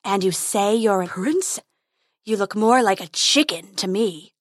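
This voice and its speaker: A huge male dragon from a storybook, acted out by a woman, speaks in a growling, menacing way. The words come slowly and drawn out, in a close, dry recording. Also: mid-range voice, animated, dry recording. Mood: mocking menace. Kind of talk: storybook character voice.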